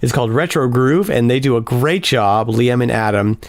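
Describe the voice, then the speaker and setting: Hoarse male podcast host, chatty and upbeat, gravelly edge, dry close sound. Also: medium pitch, lively intonation, normal speed, no room sound. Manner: upbeat, chatty announcer delivery. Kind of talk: casual podcast chat.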